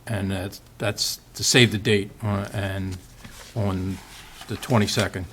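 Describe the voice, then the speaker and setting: A man's voice: fairly low pitch, flat delivery, fairly bright tone, slightly rough. A man on a township committee giving an informal, hesitant report at a meeting. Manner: halting, hesitant. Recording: faint room noise.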